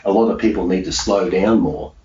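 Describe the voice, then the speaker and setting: Low-voiced man, echoey distant recording. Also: brisk, a little mellow. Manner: emphatic, self-assured, repeats key phrases. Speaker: peak performance hypnosis coach being interviewed. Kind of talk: motivational conversational interview answers. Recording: echoey room, distant mic, dull sound, faint noise.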